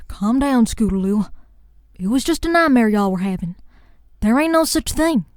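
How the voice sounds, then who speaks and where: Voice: mid-range voice, animated, moderate pace, no room sound, clean studio sound, voice pushed up, natural voice. A performer voicing a caring grown farm mare with a Southern US accent, soothing and folksy, in a story reading. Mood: calming.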